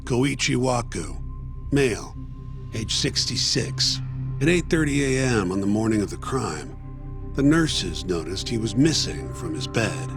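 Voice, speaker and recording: Cynical male detective, gravelly voice, dismissive, swinging pitch, music behind. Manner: sarcastic, crude, dismissive. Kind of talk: game dub acting. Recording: game scene with music behind.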